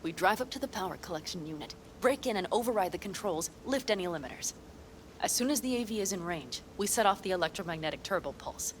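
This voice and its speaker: A woman's voice: medium pitch, lively intonation, fast, slight background noise, natural voice. An actress voicing a blunt, self-assured female desert nomad in a video game who lays out plans in a dry, matter-of-fact way. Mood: calm, assured. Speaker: game character.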